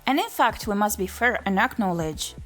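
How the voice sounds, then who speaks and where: A woman's voice: medium pitch, lively intonation, moderate pace, fairly bright tone, soft background music, close to an everyday voice. A woman voicing a formal, analytical alien who speaks for a collective in a stilted, exact way, in a fan-dubbed visual novel. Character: formal female alien hive-mind, precise, analytical, pleased.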